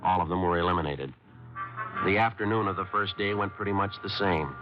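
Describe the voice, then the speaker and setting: An actor playing a terse police sergeant who narrates his cases in a clipped, flat deadpan, from a muffled 1950s radio drama. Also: low voice, a little fast, over music, natural voice. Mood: matter-of-fact.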